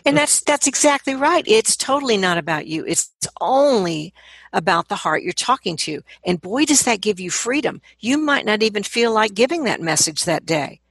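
A woman's voice: medium pitch, very animated, normal speed, gravelly, a bit bright, husky, no room sound. A woman speaking as a vocal coach in a conversational interview, explaining her points with emphasis and repeating words to drive them home.

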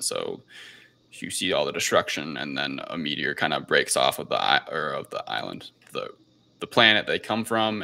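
A man's voice: very deep voice, gravelly, pushed and bright. A man hosting a podcast, recapping a film in casual, loose, informal conversation. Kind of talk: casual film review chat.

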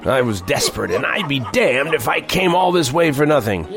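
A man's voice: medium pitch, very animated, moderate pace. An actor playing a world-weary newspaper reporter who narrates his western tale in a wry first person. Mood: wry, desperate. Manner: wry, hard-boiled storytelling. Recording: music behind the voice.

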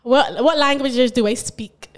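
A woman's voice: high voice, unhurried. A young woman from Barbados with a Bajan accent, hosting a casual, playful podcast chat with a friend and rambling between giggles. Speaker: podcast host.

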